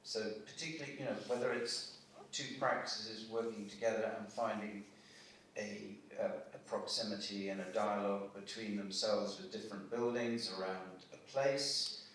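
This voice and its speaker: A man's voice: on the low side, steady tone, normal speed, a bit bright, slight background noise. A man, an architect, speaking thoughtfully and hesitantly on a panel in a lecture hall. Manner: hesitant, thoughtful, many fillers. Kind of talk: panel discussion talk. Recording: echoey hall from far off.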